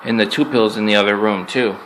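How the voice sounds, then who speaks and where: A man's voice: lowish voice, fairly even intonation, a little fast, fairly bright tone, low-quality recording. A man giving a casual, unscripted hands-on review as a hobbyist, plainspoken and conversational, repeating himself for emphasis. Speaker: amateur product reviewer and radio hobbyist. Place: indoors in an apartment. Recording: amateur recording with clear background noise.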